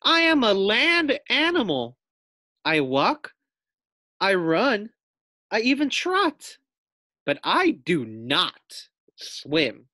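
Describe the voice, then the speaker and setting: Storybook guinea pig hero, played by a man: excitable, full of bravado, emphatic, swooping pitch, drawn-out delivery. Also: no room sound, studio quality, heavily acted. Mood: alarmed, indignant. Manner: emphatic, dramatic exclamations, drawn-out words. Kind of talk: children's storybook read-aloud character.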